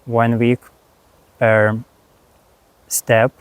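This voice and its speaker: A man with a Lithuanian accent, a spiritual teacher talking slowly and haltingly to camera about his course, with many pauses and restarts. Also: medium pitch, little pitch movement, clean tone, no echo, low-quality recording. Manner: unhurried, halting, frequent fillers and restarts. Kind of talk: reflective monologue.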